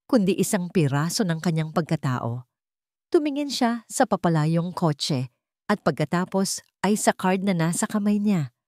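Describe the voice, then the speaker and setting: A woman's voice: lowish voice, a little mellow, dry recording, clean studio sound. A woman reading a romance story as a dramatic scripted narration.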